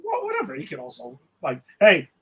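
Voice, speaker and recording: A man's voice: high voice, huge pitch swings, brisk, breathy edge. A man hosting a casual sports podcast, talking in a loose, rambling way with fillers. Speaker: podcast host. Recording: music playing loudly behind.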